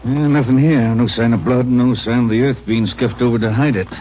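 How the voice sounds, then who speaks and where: A man's voice: mid-range voice, steady tone, fairly quick, close to an everyday voice. Guy acting country sheriff, plain folksy talk, midrange voice, hissy old time radio. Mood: plain, helpful. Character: folksy, cooperative male Texas country sheriff. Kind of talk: 1950s radio drama acting. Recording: muffled, hissy 1950s radio recording.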